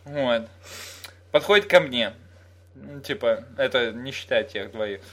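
A man's voice: mid-range voice, measured pace, a bit bright. A young man from Russia in casual, crude, joking chat with a friend, telling anecdotes and mimicking people.

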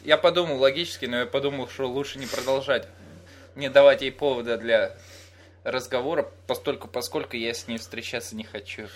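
A man's voice: mid-range voice, fairly even intonation, a little fast, a little hiss or hum. A young man from Russia in casual, crude, joking chat with a friend, telling anecdotes and mimicking people.

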